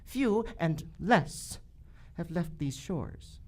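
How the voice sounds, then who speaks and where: A woman's voice: on the low side, lively intonation, drawn-out words. A woman playing a solemn, menacing jungle priest in a radio adventure, speaking in formal, grandiose phrases. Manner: formal, grandiose, refers to self in third person. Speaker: actor in live radio theater. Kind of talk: melodramatic radio adventure acting. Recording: live stage recording with faint music.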